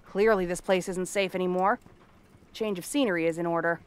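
A woman's voice: on the low side, steady tone, brisk, clean tone, lightly acted. A woman playing a cranky exiled princess turned frog girl in a fantasy ASMR roleplay, haughty, sarcastic and theatrical. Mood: irritated, dismissive. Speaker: voice actress.

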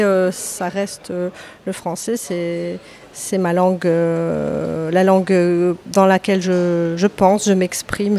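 A woman in her thirties from Lebanon, an author answering podcast interview questions with many pauses, fillers and restarts. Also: on the low side, darkish tone. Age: 30s. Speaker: author guest.

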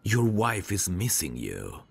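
Man playing a very deep, gravelly protective mobster. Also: wildly swooping pitch, takes it slowly, slight background noise, exaggerated voice. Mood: exasperated.

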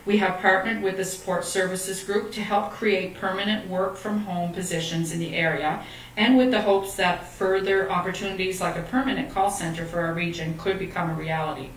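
A middle-aged woman with a slightly low voice reads a prepared, formal presentation. She stands away from the mic in an echoey room, with faint noise behind her. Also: steady tone, normal speed, far from the mic. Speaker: economic development officer giving a presentation. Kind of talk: formal scripted presentation, read aloud. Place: meeting room indoors, at a lectern.

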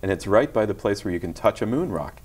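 Middle-aged man, TV studio guest, soft and gentle with hesitant restarts, somewhat low voice, lapel mic. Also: lively intonation, fast talker. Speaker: guest musician. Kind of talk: casual interview talk. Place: tv studio set.

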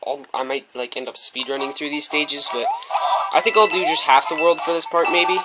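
A male gameplay commentator chatting casually in an excitable, rambling way with lots of fillers, slightly stuffy with a runny nose, recorded on a camera microphone. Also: on the high side, a little fast. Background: loud game music.

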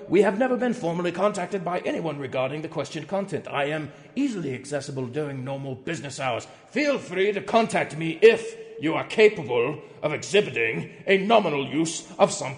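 A man voicing a pompous, outraged company executive in a theatrical dramatic reading of threatening emails. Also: on the high side. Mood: solemn formality. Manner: pompous, overwrought, swinging from hushed to shouting. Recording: long echoing hall reverb.

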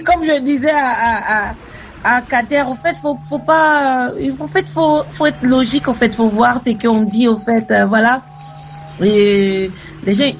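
High-voiced insistent woman caller. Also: gravelly, rough recording. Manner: insistent, repeats "en fait".

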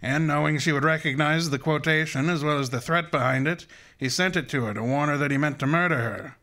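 A man's voice: a little high, brisk, pushed and bright. A man playing an imperious, erudite master detective in a radio drama, speaking in a formal, precise and pompous way. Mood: imperious, accusing. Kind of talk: old-time detective radio drama acting.

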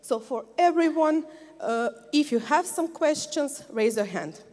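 A woman's voice: high voice, expressive, measured pace, a little mellow. A woman, moderating a live panel on a handheld microphone, chatty and friendly, often checking in with "okay?". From Croatia. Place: large sports hall used for a conference. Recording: long reverb of a big hall.

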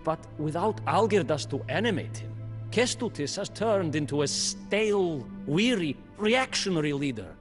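An actor narrating a game campaign as a proud, ambitious young male prince, in slow, measured storybook narration. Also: fairly high pitch, sing-song. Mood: resolute. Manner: slow, measured, brooding delivery. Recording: faint music behind.

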